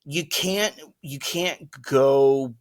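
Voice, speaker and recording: A man's voice: mid-range voice, extremely slow, dry recording. A man co-hosting a casual gaming podcast, swearing freely and joking around with his co-host. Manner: swears freely, acts out movie scenes in silly voices.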